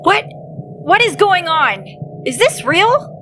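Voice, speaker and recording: A frightened female adventurer, voiced by an actress in a fantasy audio drama. She pleads in a piercingly high voice that swings widely, with faint music behind. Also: very animated, takes it slowly, sharp tone, full character voice.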